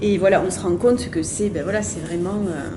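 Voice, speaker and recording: A woman's voice: lowish voice, a little fast, reverberant, noisy background. A woman interviewing an author about his novel, agreeing often and summing up the book. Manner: attentive, frequent short agreements.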